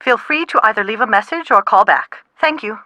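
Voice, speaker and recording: A woman's voice: fairly high pitch, lively intonation, a little fast, bright, forceful tone, dry recording. A woman playing a mother in a game's telephone dialogue. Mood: recorded greeting. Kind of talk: game character phone dialogue.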